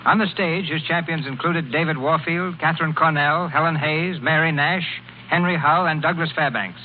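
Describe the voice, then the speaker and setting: A man's voice: fairly high pitch, moderate pace. Formal 1930s male radio announcer. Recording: tinny old radio broadcast with hiss.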